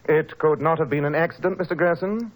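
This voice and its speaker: An actor playing a shrewd, composed gentleman detective in an old radio drama, precise and inquisitive. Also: fairly high pitch, a little fast, natural voice, low-quality recording. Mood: composed, probing.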